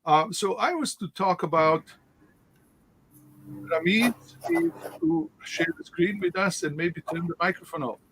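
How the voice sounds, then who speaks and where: A man from Sandžak with a Bosnian accent, giving an online presentation with shared slides, halting and full of fillers, often asking "right?". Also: sits high, very animated, creaky, a bit bright, slightly rough, low-quality recording. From Sandžak, former Yugoslavia. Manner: halting, many fillers and restarts, rhetorical "right?". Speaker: guest speaker. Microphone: video call.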